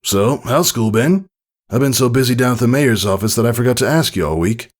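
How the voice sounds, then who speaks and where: Man acting a lively, commanding pony dad. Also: medium pitch, a little fast, pitched above the performer's own voice, lightly acted. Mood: easygoing. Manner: playful, gently bossy. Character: cheerful, commanding pegasus pony father. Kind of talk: storybook character reading.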